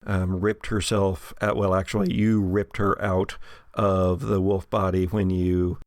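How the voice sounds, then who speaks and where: A man's voice: low-pitched, flat delivery, measured pace, no echo, clean studio sound. A man improvising narration as game master of a horror tabletop game.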